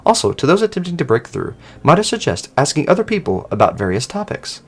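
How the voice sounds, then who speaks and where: A man's voice: on the high side, very animated, fast talker, husky, pitched above the performer's own voice, heavily acted. A man voicing a formal, methodical machine assistant that gives helpful instructions in precise wording. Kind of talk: scripted roleplay dialogue read aloud.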